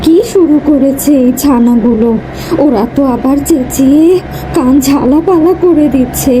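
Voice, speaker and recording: A woman's voice: high-pitched, moderate pace, background noise, close to an everyday voice. A woman voicing a cranky, spiteful old village woman in a children's cartoon, with a scolding, exclamatory manner. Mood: scolding, threatening.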